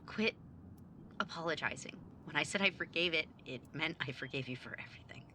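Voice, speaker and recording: Woman doing blunt younger sibling in audio drama, low but bright voice, guarded, forgiving underneath. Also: brisk, slight background noise. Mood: earnest, forgiving. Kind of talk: naturalistic audio drama acting.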